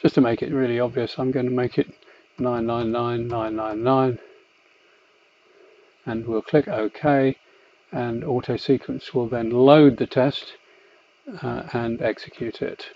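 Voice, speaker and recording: Gravelly-voiced man giving a software demonstration. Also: medium pitch, steady tone. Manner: methodical, explanatory, hesitant with pauses. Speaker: company executive presenting a product tutorial. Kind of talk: software demonstration, unscripted explanation. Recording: slightly dull, muffled lo-fi voice track.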